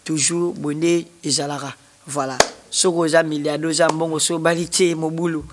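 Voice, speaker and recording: Animated young Congolese man, high voice. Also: normal speed, background noise. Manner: animated, gesturing with both hands. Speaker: musician guest. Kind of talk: TV studio interview. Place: TV studio. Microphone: standing studio mic.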